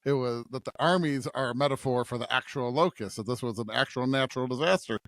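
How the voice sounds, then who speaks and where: A middle-aged man talks over scripture as a podcast co-host, with a medium-pitched voice in a very dry, close recording. He feels his way through his points haltingly and reflectively, often seeking agreement as he goes. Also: a bit bright, no echo. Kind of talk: conversational scripture discussion.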